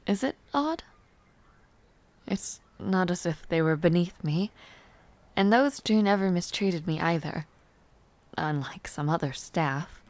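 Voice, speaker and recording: A woman playing a refined, teasing young palace noblewoman in an intimate audio roleplay, speaking in a poised, formal, softly playful way. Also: lowish voice, lively intonation, slow, pauses often. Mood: warm, earnest. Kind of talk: intimate audio roleplay acting, chatting to the listener.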